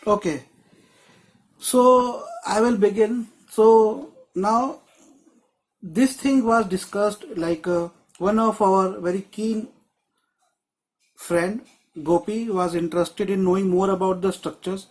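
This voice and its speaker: A man's voice: high voice, fairly even intonation, slow, long pauses, poor audio. A man teaching a screenwriting class on a video call, explaining in a loose, rambling way with many restarts. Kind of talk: live online class.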